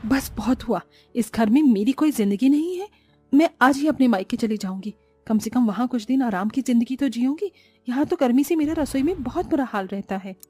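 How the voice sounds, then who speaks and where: A woman's voice: on the high side, expressive, brisk, darkish tone, slightly rough, faint music behind. A woman voicing an overworked, long-suffering young housewife in a cartoon moral story, plaintive and dutiful. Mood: exasperated.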